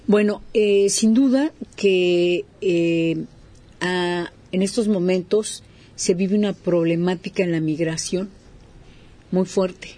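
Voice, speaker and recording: A woman's voice: fairly low pitch, takes it slowly, warm tone. A woman hosting a talk show, conversational and full of little fillers.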